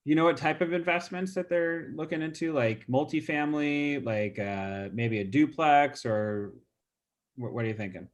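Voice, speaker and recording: A real estate agent with a stuffy nose, casually walking through a call script with lots of fillers. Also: male voice, on the high side, moderate pace. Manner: casual, chatty, many fillers. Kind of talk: role-play training demo.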